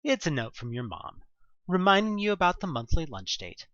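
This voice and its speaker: A man reading a scripted second-person story in a theatrical, expressive narrator's manner. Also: on the high side, moderate pace, dry recording, lo-fi sound.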